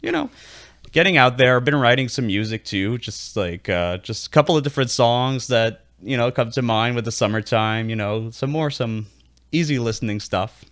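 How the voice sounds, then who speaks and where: A man's voice: medium pitch, expressive, normal speed, a bit bright, dry recording, clean studio sound. A man in his thirties from Quebec, a native French speaker, chatting easily as a podcast guest and laughing often. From Quebec, Canada. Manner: easygoing, laughs often, lots of fillers. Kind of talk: casual interview chat.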